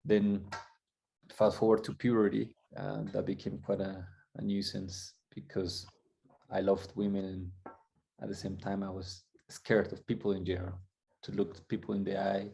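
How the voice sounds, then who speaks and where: Man from Colombia, guest coach, gravelly, flat, dark tone, reflective storyteller. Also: sits low, slight background noise. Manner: reflective, halting with restarts. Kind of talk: candid storytelling.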